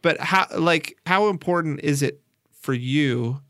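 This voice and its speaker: A man with a slightly high voice hosts a podcast. His delivery moves between narration and conversational questioning. The sound is dry, with very little room in it. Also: a little slow, a bit bright, dry recording. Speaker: podcast host and interviewer.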